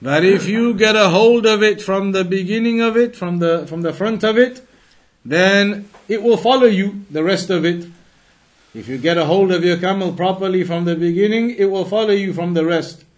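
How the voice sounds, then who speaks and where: A man giving a religious lesson as a teacher, reading and explaining, repeating and rephrasing his phrases for emphasis. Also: high-pitched.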